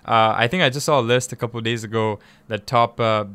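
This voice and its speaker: A man from East Africa hosts a radio interview in a clear, smooth voice. He is conversational and effusive, doubling up words and phrases for emphasis. The sound is dry and close, as in a studio. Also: mid-range voice, fairly even intonation, fast talker, fairly bright tone, no echo. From East Africa (Tanzania and Kenya). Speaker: radio show host and interviewer.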